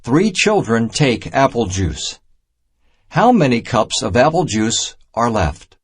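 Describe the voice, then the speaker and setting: A man reads a simple children's lesson aloud at a slow, deliberate pace, setting each short phrase apart. His mid-pitched voice has a husky edge and moves up and down in a lively way as he narrates. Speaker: narrator of a children's math storybook. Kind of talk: scripted read-aloud narration, simple and instructional.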